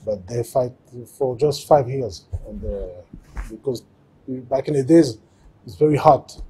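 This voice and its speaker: A man from Cameroon in his forties with a French accent, speaking in halting, simple English with many fillers as an interview guest in a boxing gym. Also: mid-range voice, warm tone, breathy edge, rough recording. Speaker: guest, former fighter. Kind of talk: casual interview. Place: boxing gym, seated in ring.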